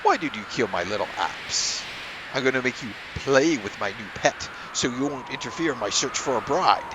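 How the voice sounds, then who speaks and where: A man's voice: medium pitch, animated, background noise, close to an everyday voice. A man voicing a lecherous, sleazy older crime boss who gloats and savors his words. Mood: gloating. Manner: leering, gloating, drawn-out relish.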